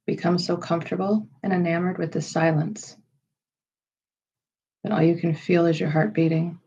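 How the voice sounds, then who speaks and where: Woman meditation guide, lowish and mellow, unhurried phrasing, slightly muffled recording. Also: fairly even intonation, slightly rough. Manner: unhurried, measured phrasing with pauses. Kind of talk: slow, soothing guided meditation.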